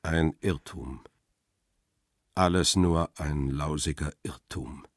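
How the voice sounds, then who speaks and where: Very deep, husky crime narrator. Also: male voice, slow pace, dry recording, clean studio sound, exaggerated voice. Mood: grim, tense. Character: middle-aged male photographer Peter Lime, grim first-person narrator. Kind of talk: scripted crime radio drama narration.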